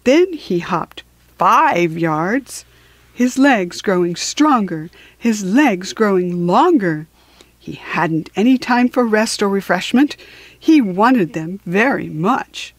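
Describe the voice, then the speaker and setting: A woman's voice: medium pitch, expressive, slow pace, no echo. A woman narrating a children's storybook expressively, with dramatic, rhythmic emphasis.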